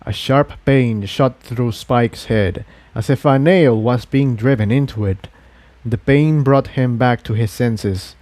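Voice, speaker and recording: A man reading fiction as a dramatic, measured audiobook narrator. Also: mid-range voice, a little mellow, dry recording.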